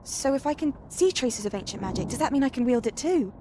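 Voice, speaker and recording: A woman's voice: high-pitched, animated, fast talker, noisy background. An actress playing an earnest, eager female student at a wizard school in a video game, polite and inquisitive. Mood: eager, curious. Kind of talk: scripted video game acting.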